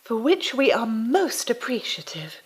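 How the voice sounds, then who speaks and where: Woman playing a husky, solemn religious sister. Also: fairly high pitch, very animated, measured pace, full character voice. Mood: solemn, courteous. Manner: formal, gentle. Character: polite, solemn religious sister bearing news. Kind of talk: audio drama acting.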